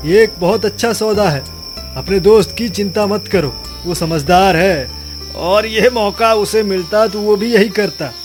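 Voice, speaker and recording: A man's voice: high voice, close to an everyday voice. A man voicing a wealthy, scheming village headman who coaxes persuasively in a cartoon moral story. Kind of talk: animated moral bedtime story.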